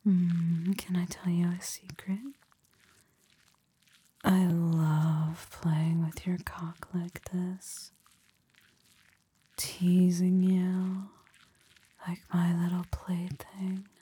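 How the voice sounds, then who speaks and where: A woman whispering a slow, teasing roleplay close to the mic, with a breathy voice. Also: lowish voice, flat delivery, dark tone, no room sound.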